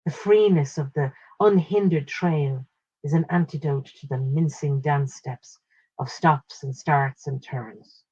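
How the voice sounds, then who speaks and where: A woman reading a literary first-person short story aloud in a measured, expressive way with pauses. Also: deep voice, slightly rough, highs cut off.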